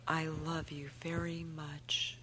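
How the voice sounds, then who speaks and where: Hushed, slow, low ghostly mother. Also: little pitch movement, loud music behind the voice. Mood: soothing, loving. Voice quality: hushed, breathy whisper. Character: gentle, loving ghostly mother comforting her son. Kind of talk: old-time radio drama acting.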